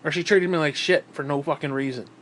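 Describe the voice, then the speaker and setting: A man's voice: a little high, steady tone, fast talker, a bit bright, slight background noise. A man with a stuffed-up, nasal voice, talking in a bitter, profane, rambling monologue into a tablet. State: stuffed-up nose. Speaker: vlogger.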